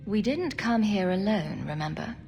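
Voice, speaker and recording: A woman playing a composed, skeptical adventuring heroine in a video game, measured and questioning. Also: mid-range voice, animated, darkish tone, lo-fi sound, faint music behind, close to an everyday voice. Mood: calm.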